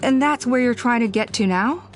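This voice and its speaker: A woman's voice: a little high, normal speed, soft background music, natural voice. A woman playing a cautious, level-headed tribe member in a fantasy video game, serious and careful in delivery. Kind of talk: video game character dialogue.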